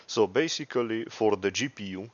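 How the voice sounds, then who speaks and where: Low-voiced Romanian man, casual tutorial. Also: normal speed, dry recording. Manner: rambling, hesitant, self-correcting. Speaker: tutorial host. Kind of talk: casual unscripted software tutorial. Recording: dry, dull lo-fi microphone.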